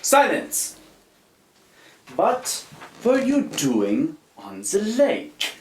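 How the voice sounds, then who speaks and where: Stern, animated ship's captain. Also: male voice, on the high side, extremely slow, background noise, full character voice. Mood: stern, commanding. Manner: commanding, clipped, formal. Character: stern, imperious German naval captain, pompous and incredulous. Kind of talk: live radio play acting. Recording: roomy live stage recording.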